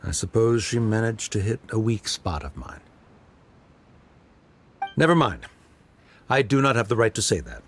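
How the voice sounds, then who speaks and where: A man's voice: fairly low pitch, big pitch swings, normal speed, long pauses, a little hiss or hum. An actor playing a proud but caring middle-aged scholar father in a video game, speaking formally and a little stiffly. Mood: reflective, reserved. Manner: formal, stiff, hesitant, precise wording.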